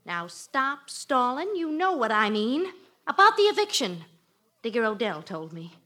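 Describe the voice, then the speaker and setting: High-voiced exasperated radio housewife. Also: female voice, big pitch swings, unhurried, sharp tone, full character voice. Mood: indignant scolding. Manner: emphatic, nagging, dramatic. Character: exasperated, scolding but loving housewife. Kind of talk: 1940s radio comedy acting. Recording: old radio show recording.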